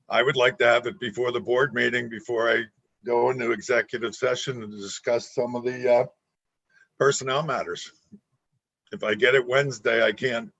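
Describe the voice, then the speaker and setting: A man makes an insistent point as a board member in a formal meeting. His voice is husky and flat in pitch. The audio is a little dull.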